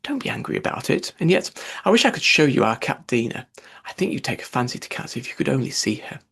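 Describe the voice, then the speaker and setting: A man voicing a polite, chatty little girl in a storybook, earnest and quick to apologise. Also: mid-range voice, sing-song, gravelly, fairly bright tone, slightly rough, full character voice. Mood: flustered, apologetic.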